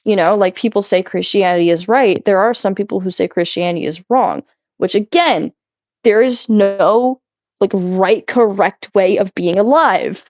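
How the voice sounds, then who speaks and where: A woman's voice: medium pitch, normal speed, a little mellow, dry recording. A young adult woman streaming while she cleans, chatting loosely with her viewers, giggling and swearing often. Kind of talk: casual live-stream chat while cleaning. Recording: slightly muffled, dry sound.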